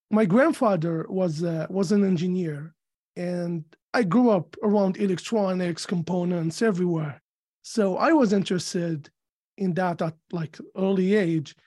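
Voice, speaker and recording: Algerian-accented man, mellow voice. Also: sits high. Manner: measured and thoughtful, with many fillers. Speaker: guest. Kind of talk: casual interview.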